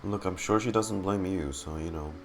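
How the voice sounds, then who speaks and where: Deep guy game streamer, slow casual reactions, game music behind him. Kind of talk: casual live game commentary. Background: video game music.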